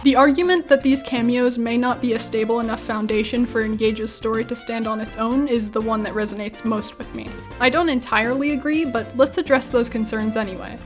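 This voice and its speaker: A woman's voice: a little high, steady tone, a little fast, hardly any pauses, music in the background. A woman hosting a scripted video commentary on games, with wry, self-deprecating asides. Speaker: video essayist, YouTube host.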